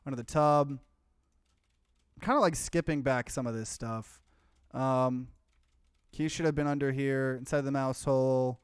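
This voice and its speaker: A man's voice: mid-range voice, steady tone, unhurried, long pauses, clean tone, dry recording, studio quality. A man doing a casual let's play, reading game text aloud and dropping in offhand comments. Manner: mixes plain narration with offhand asides.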